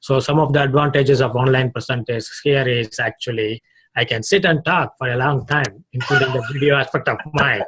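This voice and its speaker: A man from India with an Indian accent, a club guest giving a short unprepared speech on a video call, halting and restarting his phrases. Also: mid-range voice, fairly bright tone, husky, low-quality recording.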